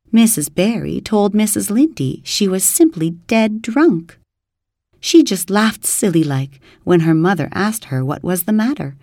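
A woman's voice: medium pitch, a little mellow, no echo, studio quality, pitched above the performer's own voice. A woman voicing a dramatic, imaginative orphan girl who wails and sobs in distress. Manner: wailing, sobbing, breathless and overwrought.

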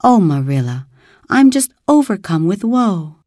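A woman's voice: medium pitch, very animated, a little slow, dark tone, no echo, studio quality, pitched above the performer's own voice. A woman voicing a dramatic, imaginative orphan girl who wails and sobs in distress. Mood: wailing. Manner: wailing, sobbing, breathless and overwrought.

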